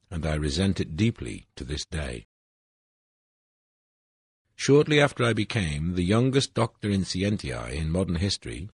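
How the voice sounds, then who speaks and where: An older man from Wales, reading a formal, aggrieved personal statement in a measured way. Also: low voice, darkish tone, husky, dry recording, clean studio sound.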